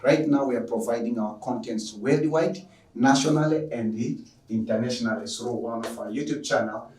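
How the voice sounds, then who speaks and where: A man's voice: medium pitch, normal speed, a little mellow. A man from Tanzania with a Tanzanian accent, introducing an online lecture as a teacher with emphatic, repetitive phrasing. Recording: echoey room, distant microphone.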